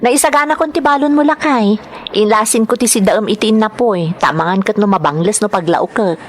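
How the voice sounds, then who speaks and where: A woman's voice: mid-range voice, a little fast, slight background noise, natural voice. A woman acting a worried, scolding wife in an audio drama, exasperated but caring, with an Ilocano accent. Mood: concerned.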